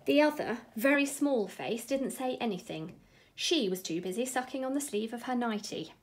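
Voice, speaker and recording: A woman reading a children's bedtime story aloud in an expressive narrating style. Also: fairly high pitch, moderate pace.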